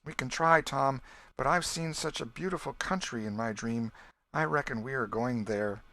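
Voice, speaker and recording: A man's voice: medium pitch, normal speed, pushed and bright, pitched above the performer's own voice. A man reading an audiobook, voicing a frightened, weary, sorrowful young girl with a plaintive, faltering delivery. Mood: dreamy, resigned. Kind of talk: audiobook character voice.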